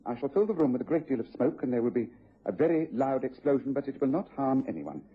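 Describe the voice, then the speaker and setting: An actor playing a brisk, self-assured English gentleman detective in a radio drama, with precise, authoritative delivery. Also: mid-range voice, very animated, breathy edge. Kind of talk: BBC radio drama acting. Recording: dull, noisy vintage radio recording.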